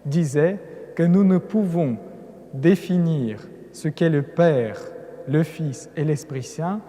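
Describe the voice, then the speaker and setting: A man's voice: a little high, slow pace, mellow. A man speaking French as a non-native speaker, giving an earnest Lenten conference talk with emphatic build-ups and some hesitant restarts. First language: not French. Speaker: lecturing cleric. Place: large cathedral. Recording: long cathedral reverb.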